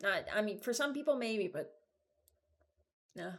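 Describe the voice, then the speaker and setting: A young adult woman giving casual, unscripted reaction commentary, hesitant and thinking out loud. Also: medium pitch, expressive, measured pace. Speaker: video creator ranking game voice lines.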